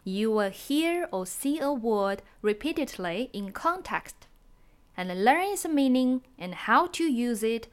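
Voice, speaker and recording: Lady teacher hosting a podcast, slow and clear, really sing-song. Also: a little high, expressive. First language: Chinese. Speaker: language teacher and podcast host. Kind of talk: scripted teaching introduction.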